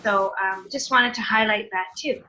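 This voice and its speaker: Bright-voiced woman leading an online seminar. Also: mid-range voice, normal speed, poor audio. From Canada. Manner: friendly, explanatory, with filler sounds. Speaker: teacher trainer. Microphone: video call.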